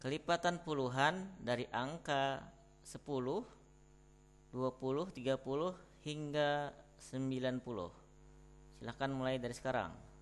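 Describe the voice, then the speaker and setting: A man with an Indonesian accent, teaching beginner Arabic by reading short Indonesian phrases as prompts and pausing for answers. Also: medium pitch, smooth. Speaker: Arabic tutor. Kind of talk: prompting vocabulary drills.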